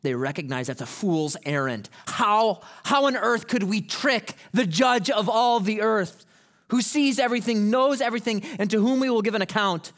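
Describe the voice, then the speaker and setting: A man preaching a sermon as pastor, moving from conversational teaching to loud, impassioned preaching. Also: sits high, expressive, normal speed. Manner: acts out quoted people in casual voices. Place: church sanctuary.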